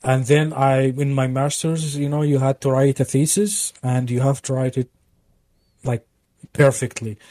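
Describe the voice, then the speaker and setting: Mellow-voiced guest man chatting. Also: medium pitch, unhurried, no room sound. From Belgium. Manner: relaxed, laughs along, speaks fluent second-language English. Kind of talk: casual podcast chat.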